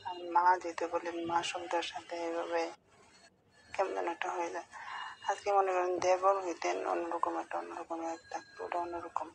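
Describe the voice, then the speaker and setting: A middle-aged woman talks on a recorded internet call with faint noise behind her. Her delivery is fairly flat and gravelly, yet hesitant and pleading, and she circles back to repeat herself. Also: lowish voice, normal speed. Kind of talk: private phone conversation.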